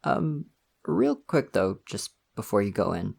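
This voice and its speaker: Man playing bashful male college crush, ASMR roleplay, casual and rambling, awkwardly flirty, animated intonation, crackly vocal fry. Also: on the low side, measured pace, exaggerated voice. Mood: nervous, hesitant. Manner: hesitant, rambling, chuckling self-consciously. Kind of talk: intimate ASMR roleplay, casual conversational acting.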